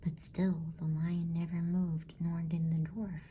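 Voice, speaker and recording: A woman whispers a bedtime story slowly and close to the microphone, in ASMR style. Her hushed delivery is soft and mellow, with a faint noise behind it. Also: lowish voice, flat delivery, dull audio. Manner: hushed, unhurried, soothing whisper.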